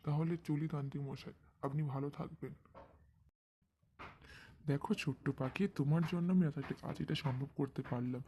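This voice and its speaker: A man's voice: a little high, fairly even intonation, brisk, dark tone, a little hiss or hum, natural voice. A man voicing an earnest, courteous, kindly king in a fairytale cartoon, with a pleading manner. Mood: grateful, warm. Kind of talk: cartoon voice acting.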